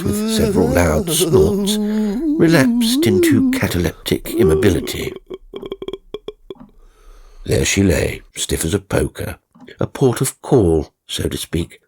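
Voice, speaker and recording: A man narrating a scripted ghost story as an audiobook reader, in a theatrical, measured storytelling manner. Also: on the low side, darkish tone, breathy edge.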